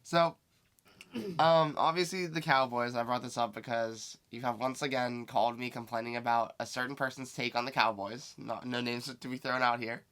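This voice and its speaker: A man with a raspy voice, co-hosting a casual sports podcast, asking questions in a laid-back way. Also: mid-range voice, fairly even intonation, normal speed, a bit bright. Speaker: podcast co-host.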